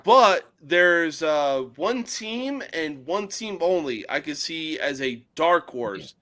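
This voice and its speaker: Profane New man, lively and bright. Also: on the high side, slow pace. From North Jersey, USA. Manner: blunt, profane, joking. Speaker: podcast guest. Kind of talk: casual sports podcast chat.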